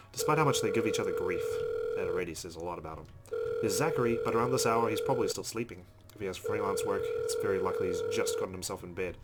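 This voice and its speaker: A man hosting a let's play, reading visual novel narration aloud with asides, over loud background noise. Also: lowish voice.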